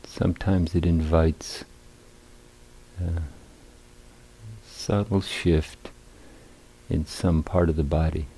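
A man with a very low, creaky voice leads a guided meditation as its teacher. His phrasing is deliberate and a little hesitant, with long pauses between short phrases. The tone is dark and mellow. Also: little pitch movement, low-quality recording. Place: meditation retreat center.

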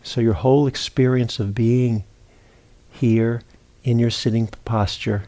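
A man teaching meditation, giving gentle, unhurried guided instructions with long pauses between phrases. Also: medium pitch, fairly even intonation.

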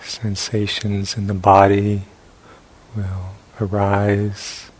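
A man leading a guided meditation as a teacher, speaking in sparse short phrases with long pauses between them. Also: on the low side, monotone, breathy edge, low-quality recording. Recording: faint hiss and background noise.

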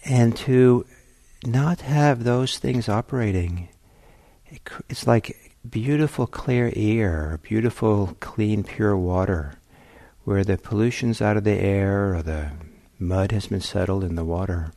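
A man teaching meditation in a gentle, reflective way, pausing often as he searches for phrasing. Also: fairly low pitch, no room sound. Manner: gentle, hesitant, reflective phrasing with long pauses. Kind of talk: guided meditation talk.

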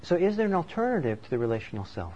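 A man's voice: fairly high pitch, very animated, very fast, dark tone. A man from Norway giving a thoughtful, conversational dharma talk as a meditation teacher. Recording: slightly dull recording with faint background noise.

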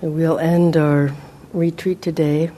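A woman leading a guided meditation as a teacher, speaking gently and in a measured way with long pauses. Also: low-pitched, little pitch movement.

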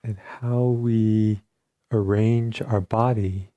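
Male meditation teacher, low-pitched and very slow, calm delivery with generous pauses. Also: no room sound, highs cut off. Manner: calm, unhurried, with long pauses between short phrases. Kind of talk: guided meditation instruction.

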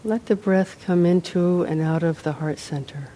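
A woman meditation teacher leading a guided meditation, unhurried, in short phrases with long pauses. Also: on the low side, dark tone, a little hiss or hum. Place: meditation center, live talk.

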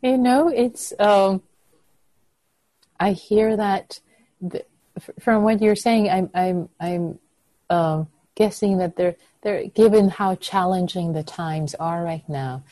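A gentle woman teacher, a native Persian speaker, talks softly and warmly reassures her group over a video call. Her voice is mellow and dark in tone, recorded close and dry with no room sound. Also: medium pitch, takes it slowly, dry recording. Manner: soft, nurturing, frequent affirmations. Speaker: meditation teacher.

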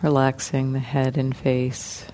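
A woman teaching guided meditation in a gentle, unhurried manner, leaving long pauses between short phrases. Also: very deep voice, completely flat delivery, a little hiss or hum, rough recording.

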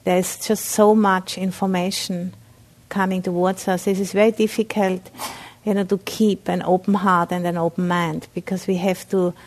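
A woman from Austria with an Austrian German accent, a Buddhist nun giving a dharma talk at a meditation center, speaking haltingly with many fillers and restarts. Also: fairly low pitch, level tone, darkish tone, slight background noise.

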